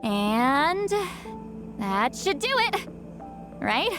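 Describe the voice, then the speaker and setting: A haughty, youthful-sounding shaman woman, high in pitch and very animated. She is defensive and easily flustered, in video game style, with music clearly behind her. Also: very slow, exaggerated voice. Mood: flustered, musing. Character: proud, flustered two-hundred-year-old shaman, youthful-sounding woman.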